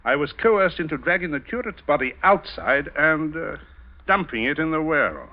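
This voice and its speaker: An actor playing a sly, mock-polite gentleman blackmailer with sardonic hesitations, in 1940s radio drama style. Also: on the high side, animated, moderate pace, lightly acted. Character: sly, insinuating gentleman blackmailer, coolly taunting. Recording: muffled old radio recording.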